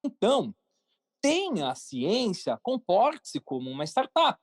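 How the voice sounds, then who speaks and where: A man's voice: high-pitched, huge pitch swings, unhurried, dry recording, clean studio sound. A man with a Brazilian Portuguese accent speaking casually and emphatically as a podcast guest, often repeating phrases to drive points home. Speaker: podcast guest, cartoon creator and entrepreneur. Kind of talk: casual interview talk.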